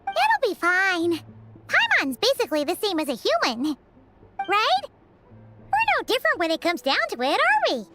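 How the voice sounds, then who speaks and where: A woman's voice: very high-pitched, wildly swooping pitch, unhurried, over loud music, exaggerated voice. A woman voicing a small, chirpy, talkative fairy companion with a childlike manner in a video game. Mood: curious, chatty. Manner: refers to herself by name in third person.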